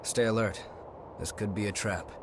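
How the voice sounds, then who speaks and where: A man playing a wary, level-headed fighter in a video game, measured and serious. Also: fairly low pitch, noisy background, close to an everyday voice.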